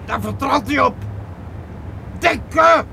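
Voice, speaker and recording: An actor playing an alarmed police officer in a radio play, shouting urgent, commanding warnings. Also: male voice, very high-pitched, takes it slowly, creaky, heavily acted. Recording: noisy old radio drama recording.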